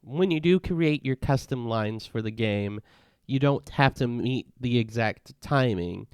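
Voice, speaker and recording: A man narrating a casual step-by-step software tutorial in a plain, instructional manner. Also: medium pitch, animated, measured pace, smooth, no room sound, clean studio sound.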